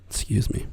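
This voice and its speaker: An American man talking soft-spoken for ASMR, often slipping into a whisper, rambling and correcting himself as he goes. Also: no echo. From United States. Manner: meandering, self-correcting, chuckles softly. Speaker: ASMR creator.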